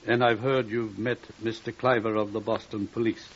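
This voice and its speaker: An actor playing a courteous, formal gentleman doctor in a 1950s radio drama, politely grave in manner. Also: on the low side, little pitch movement, moderate pace. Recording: muffled vintage 1950s radio recording.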